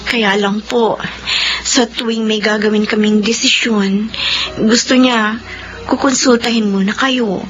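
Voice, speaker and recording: An actress playing an aggrieved, long-suffering wife in a Filipino radio drama, earnest and pleading with a strained edge. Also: female voice, fairly high pitch, fairly even intonation, measured pace, pauses often, lo-fi sound, faint music behind, natural voice. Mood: respectful, hesitant.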